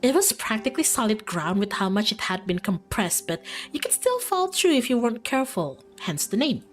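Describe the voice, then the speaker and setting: Woman plays breezy young small-town gal in a visual novel, chatty monster-hunting enthusiast, very animated. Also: fairly high pitch, a little fast. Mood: jaunty, chatty.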